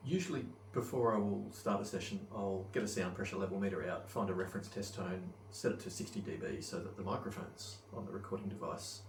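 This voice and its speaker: A man's voice: low voice, steady tone, fairly quick, few pauses. A man giving a casual, rambling commentary on gear and gameplay, hesitant and thinking aloud. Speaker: headphone reviewer and gamer. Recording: roomy, distant mic with faint background noise.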